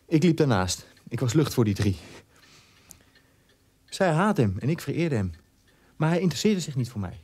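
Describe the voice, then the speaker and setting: An actor playing a bitter, neglected German son in a radio drama, in a halting, conversational monologue. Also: male voice, fairly high pitch, sing-song, darkish tone, husky. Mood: bitter, hurt. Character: bitter German son born 1960, neglected by his father.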